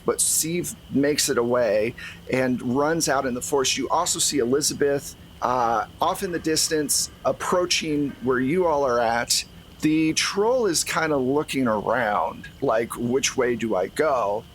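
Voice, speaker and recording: A man's voice: on the high side, a bit bright, a little hiss or hum, low-quality recording. A man acting as game master, improvising narration for a tabletop role-playing game in a conversational, explanatory way.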